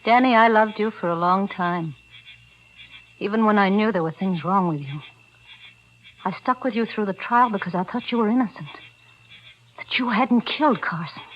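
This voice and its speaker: Actress as a resolute, wounded radio-drama heroine. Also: female voice, medium pitch, moderate pace, long pauses, close to an everyday voice. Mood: bitter, hurt. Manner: earnest, dramatic. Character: resolute, wounded young woman turning on her lover. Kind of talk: 1940s radio acting. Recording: muffled, noisy 1940s radio recording.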